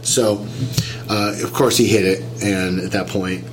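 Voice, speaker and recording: Nasal man with a cold, low voice. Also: steady tone, a little slow, faint music behind, rough recording. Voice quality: slightly whiny. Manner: rambling, explains step by step. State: getting over a cold, nasal. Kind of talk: casual chat.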